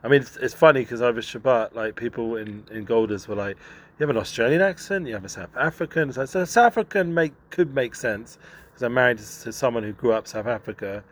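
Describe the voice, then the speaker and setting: A man's voice: mid-range voice, animated, fast talker. A man from Northwest London with a London accent, chatting casually and agreeably as a podcast guest. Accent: London English. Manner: easygoing, agreeable, frequent short assents. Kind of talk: casual chat.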